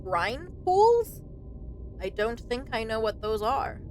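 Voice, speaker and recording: A woman's voice: fairly high pitch, sing-song, slow, slight background noise. A young woman doing casual let's play commentary, reacting informally and reading on-screen text aloud. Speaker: streamer playing and reacting to a game.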